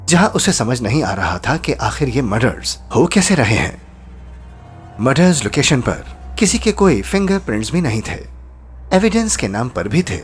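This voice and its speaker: A male narrator tells a scripted horror tale with suspense. His medium-pitched voice moves expressively up and down. Faint music plays behind him. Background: background music.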